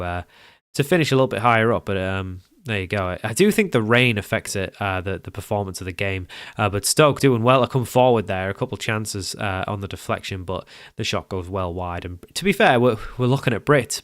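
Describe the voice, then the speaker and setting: A young man with a somewhat low voice and a stuffy nose talks through a video game. He rambles in a relaxed way and often corrects himself. He is recorded on a close desk mic in a dry, dead room. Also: smooth, dry recording. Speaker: gaming YouTuber. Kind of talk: casual gameplay commentary.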